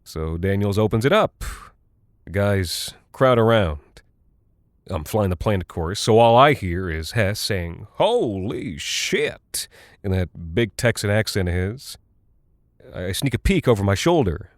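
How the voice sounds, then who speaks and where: A worn-out, rattled Cold War airman in an audio horror monologue. His voice sits on the low side, recorded close and dry. He talks conversationally and haltingly, rambling and apologizing as if confessing to his wife. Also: animated, no echo. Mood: confiding, uneasy. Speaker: audio drama actor.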